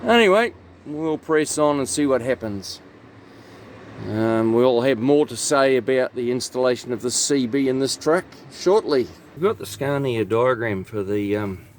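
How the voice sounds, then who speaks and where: A man giving a matter-of-fact, slightly grumbling hands-on commentary as a technician at work in a truck. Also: mid-range voice. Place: inside a truck cab and on its roof. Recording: steady background noise, vehicle ambience.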